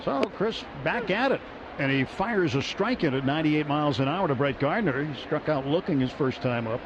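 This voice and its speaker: A man calling baseball play-by-play on the radio, brisk and lifting on big plays. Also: a little high, animated. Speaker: play-by-play announcer. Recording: muffled radio broadcast with noise.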